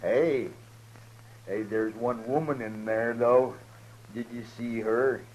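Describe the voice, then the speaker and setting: An actor playing a menacing, lecherous outlaw in a 1950s radio western, halting and muttering as he talks. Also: mid-range voice, steady tone, a little mellow, dull audio. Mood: sly, idle. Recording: noisy 1950s radio recording with hum.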